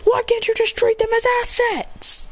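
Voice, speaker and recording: A woman's voice: medium pitch, a little slow, muffled recording, close to an everyday voice. A woman voicing a bitter, angry young male ninja outcast, talking in resentful outbursts. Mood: aggrieved.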